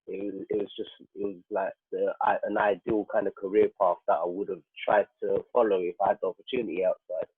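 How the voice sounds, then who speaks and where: A man speaking haltingly as a guest in a panel conversation, reflecting personally and often repeating himself as he searches for words. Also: low-pitched, little pitch movement, husky. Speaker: guest, prison radio producer. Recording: roomy, phone-like narrowband call.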